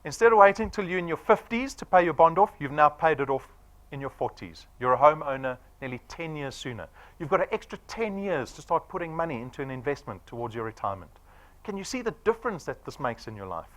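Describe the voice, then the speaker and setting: A man's voice: fairly high pitch, big pitch swings, fast talker, fairly bright tone. Man, South African accent, forties, punchy presenter, rhetorical questions, swooping voice. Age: 40s. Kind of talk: sales presentation to an audience.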